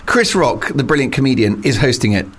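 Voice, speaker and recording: Male talk show host, animated and emphatic, steering the panel. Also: medium pitch, brisk, breathy edge. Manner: animated, emphatic, rises on key words.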